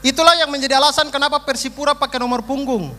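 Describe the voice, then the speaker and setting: Guy w eastern indonesian accent doing standup, high voice, big echoey hall, bit of noise. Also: steady tone, fast talker. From East Nusa Tenggara, eastern Indonesia. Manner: repeats phrases for emphasis. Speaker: stand-up comedian. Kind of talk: stand-up comedy routine. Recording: reverberant hall, faint noise.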